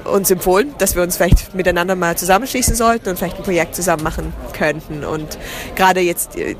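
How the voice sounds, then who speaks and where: A woman, chatting in an interview, often restarting and searching for words. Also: lowish voice. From southern Germany. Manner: searching for words, many restarts, drops into English. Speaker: guest, theatre company founder. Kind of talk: casual interview.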